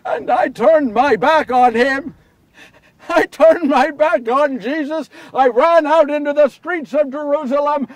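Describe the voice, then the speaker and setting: A man's voice: sits high, slightly rough, no echo, full character voice. A man playing Simon Peter as a big, remorseful fisherman disciple, telling his story in an earnest, confessional, theatrical dramatic monologue. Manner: earnest, confessional, theatrical, swinging from hushed to shouting. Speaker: solo storyteller actor. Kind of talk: first-person dramatic monologue of a Bible story. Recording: distant microphone.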